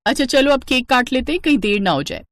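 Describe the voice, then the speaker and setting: A woman's voice: sits high, expressive, quick pace, a bit bright. A woman voicing a status-conscious, evasive wealthy wife in a cartoon moral story, with a placating manner. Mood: covering up. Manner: placating, excuse-making.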